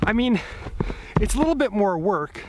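A man's voice: high-pitched, sing-song, normal speed, background noise. Upbeat chatty young man vlogging. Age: 20s. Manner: chatty, upbeat, laughs easily, thinks aloud. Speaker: RV travel vlogger. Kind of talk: casual vlog to camera. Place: snowy campground outdoors and inside a small truck camper. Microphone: handheld camera at arm's length.

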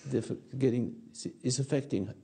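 A man in his eighties giving a rambling philosophical talk to an audience on a lapel mic, halting and repeating his words. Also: medium pitch, gravelly, mellow, slightly rough. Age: 80s. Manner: gestures with hands while talking. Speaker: speaker answering audience. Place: dark stage or studio.

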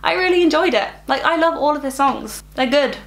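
Upbeat girl in her 20s w a cold, kinda nasal, highish voice, chatting to camera in a small room. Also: expressive, brisk, fairly bright tone. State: sick, slightly nasal. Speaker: reaction channel host. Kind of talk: casual music reaction chat. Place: small bright room with plants.

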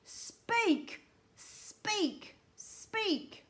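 A woman voicing an angry, urgent Space Control announcer in a children's storybook, booming loudly and commandingly. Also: expressive, exaggerated voice. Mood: furious. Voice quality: booming, very loud. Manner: urgent, commanding, drawn-out shouted orders.

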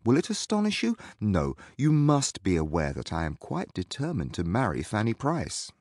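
A woman reading an audiobook, voicing a confident, lovestruck young gentleman in earnest, formal period speech. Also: extremely low pitch, huge pitch swings, normal speed, breathy edge, no room sound, clean studio sound, pitched below the performer's own voice, exaggerated voice. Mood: resolute, smitten. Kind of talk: audiobook character dialogue.

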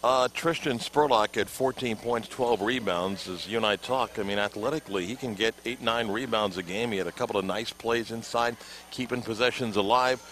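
A middle-aged man on a headset mic at a courtside table in an indoor arena, reading stats and asking postgame questions in a measured way. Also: lowish voice, fairly bright tone, slight background noise, rough recording. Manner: measured, reads stats then asks questions. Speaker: postgame sideline interviewer. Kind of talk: postgame broadcast interview.

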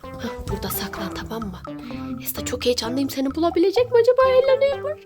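A woman speaking as a mother in a playful, animated way, giving a child encouraging instructions during a game. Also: very high-pitched, fast. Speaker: mother playing hide-and-seek with child. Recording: loud music over the voice.

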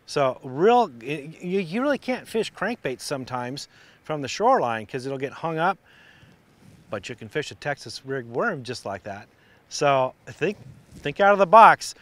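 A middle-aged man giving a relaxed, practical fishing lesson on a lakeshore, recorded on a lapel mic. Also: a little high, measured pace, no echo. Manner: relaxed, practical explaining with restarts. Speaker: fishing instructor. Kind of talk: outdoor how-to demonstration. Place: outdoors on a lakeshore.

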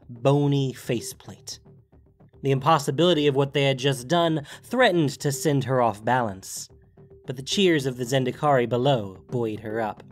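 A man narrates a fantasy story with theatrical, deliberate emphasis. His medium-pitched voice swings widely, over faint music. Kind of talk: dramatic scripted story narration.

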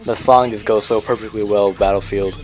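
A man's voice: on the low side, steady tone, brisk, a little mellow. A man giving casual, informal and opinionated commentary as the host of a video game countdown.

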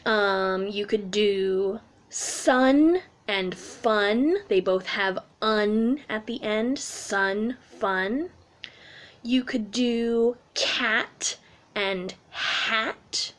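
A woman's voice: medium pitch, very slow. A woman in her thirties, a children's music teacher, explaining things simply and animatedly for young children in a story time and sing-along. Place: small room at home, indoors.

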